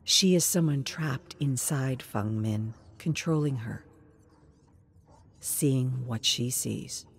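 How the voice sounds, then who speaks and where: A narrator reading a scripted dramatic story in a measured, deliberate way. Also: medium pitch, slightly rough.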